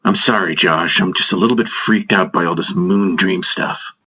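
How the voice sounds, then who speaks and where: A man's voice: deep voice, moderate pace, sharp tone, husky, heavily acted. Man voicing a puzzled, suspicious fantasy companion. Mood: uneasy. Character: puzzled, suspicious male companion, freaked out by dreams. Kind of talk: fantasy audiobook character dialogue.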